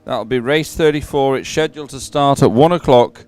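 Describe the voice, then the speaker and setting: A man giving live sports commentary in a chatty, somewhat halting announcing style. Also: medium pitch, darkish tone, faint music behind.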